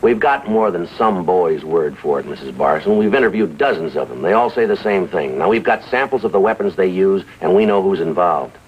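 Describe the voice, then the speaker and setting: A man's voice: low-pitched, expressive, brisk, hardly any pauses, a little hiss or hum. A man playing a stern, methodical American police sergeant in a 1950s TV crime drama, clipped and matter-of-fact. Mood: firm, polite. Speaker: narrator and lead police sergeant. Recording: muffled 1950s TV soundtrack, music underneath.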